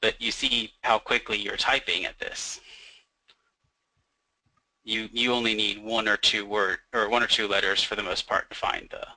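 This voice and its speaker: Bright, crisp young man explaining software. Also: lowish voice, little pitch movement, normal speed, dry recording, low-quality recording. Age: young adult. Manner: fluent, uses fillers like "um" and "like". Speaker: software developer presenting. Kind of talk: casual explanation in a webinar.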